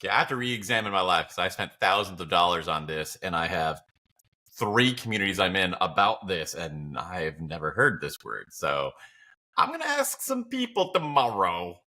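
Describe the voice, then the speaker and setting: A man's voice: deep voice, sing-song, moderate pace, pushed and bright. A man bantering casually as a podcast co-host, with a wry, playful and emphatic way of talking.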